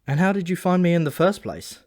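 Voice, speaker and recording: A man's voice: high-pitched, big pitch swings, brisk, a little mellow, pitched above the performer's own voice. A man voicing an ordinary modern man in an audiobook, naive and plain, with a questioning manner. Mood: puzzled.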